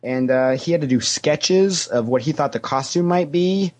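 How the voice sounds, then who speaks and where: A man's voice: a little high, normal speed. A man giving casual, anecdotal answers as a podcast guest, a talkative storyteller who acts out what people said. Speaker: podcast guest, former theme park character actor.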